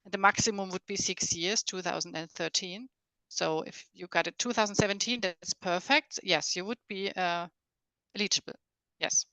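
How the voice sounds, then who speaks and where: A woman's voice: lowish voice, fairly even intonation, moderate pace, bright, forceful tone, no echo, poor audio. A woman answering questions as a presenter in an informal online session, hesitant and thinking aloud as she goes. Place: online video conference call.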